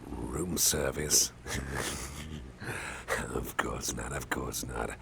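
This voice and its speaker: A man playing a caring, affable boss in an ASMR roleplay, talking softly in a gentle, hesitant, chatty way with a gravelly voice. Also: steady tone, extremely slow, exaggerated voice. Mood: friendly, chatty. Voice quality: deep, gravelly, breathy at times. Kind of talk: ASMR roleplay, soft close personal talk. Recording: faint background noise.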